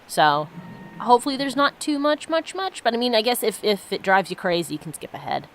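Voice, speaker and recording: A woman's voice: fairly high pitch, sing-song, a little fast. A woman giving casual, reflective commentary as she plays a game and reads its story aloud. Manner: musing, reflective. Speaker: let's play host.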